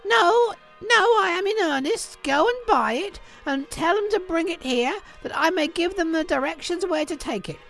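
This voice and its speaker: Lady doing an excitable old english gent from a storybook, theatrical, creaky, pitch all over. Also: slow pace, faint music behind, full character voice. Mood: excited, delighted. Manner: exuberant, emphatic, theatrical. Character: elderly English gentleman, gruff turned giddily jolly.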